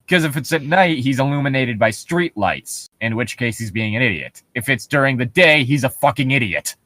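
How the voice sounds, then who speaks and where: A man's voice: medium pitch, animated, moderate pace, bright, forceful tone, no echo. A man co-hosting a comedy show, casually reading and riffing in a sardonic, exasperated, sweary way. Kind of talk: casual riffing while reading horror stories aloud.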